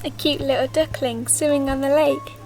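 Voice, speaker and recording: A sweet little witch girl, acted by a woman in a children's audio play. Her voice is high and she speaks in earnest, sing-song rhyme, with faint music around her. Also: steady tone, moderate pace, a little mellow. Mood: gentle protest.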